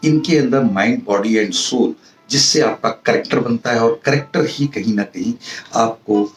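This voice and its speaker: A man speaks as a motivational teacher, earnest and halting, with a mid-pitched voice. He sits back from the mic in a roomy space, with music underneath. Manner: earnest, didactic, halting with pauses and repeated phrases. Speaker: professor giving a motivational talk. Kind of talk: motivational public-speaking lecture, mixing in English terms. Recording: roomy, distant mic, faint music behind.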